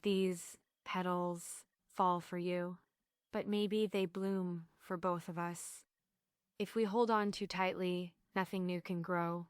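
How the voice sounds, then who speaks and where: A woman's voice: on the low side, steady tone, slow, clear, resonant tone, no echo, clean studio sound. A woman playing a yearning, stubborn, emotional heroine in a script read of a musical stage play. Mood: tender.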